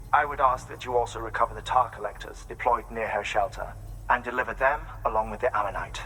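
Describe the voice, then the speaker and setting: An actor playing an excited, bookish scientist in a video game, speaking in eager, formal, precise words. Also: male voice, medium pitch, a little hiss or hum, low-quality recording. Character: excited, bookish male scientist studying fossils and extinctions. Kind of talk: video game cutscene acting.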